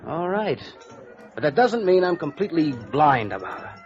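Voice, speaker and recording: A man's voice: fairly high pitch, big pitch swings, normal speed. An actor playing a stubborn, belligerent fiancé who defends his sweetheart, insistent and defensive, in 1950s radio drama style. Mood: defensive, indignant. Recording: dull vintage radio recording with music.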